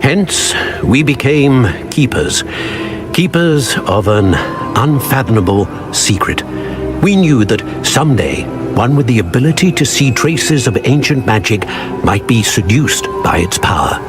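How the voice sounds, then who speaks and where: An actor playing a grave older wizard professor in a video game, solemn, measured and formal in his speech. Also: mid-range voice, very animated, music in the background. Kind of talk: dramatic video game acting.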